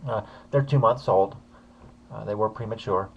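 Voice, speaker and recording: A man's voice: lowish voice, normal speed, creaky, lo-fi sound, a little hiss or hum. A man casually commenting on a video he uploaded, talking hesitantly with many fillers.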